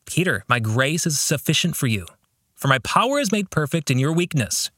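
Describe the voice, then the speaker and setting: A man voicing a calm, fatherly God who answers prayer with measured reassurance, in a novel reading. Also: fairly high pitch, dry recording, clean studio sound, full character voice. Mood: tender, reassuring. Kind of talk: audiobook-style novel reading.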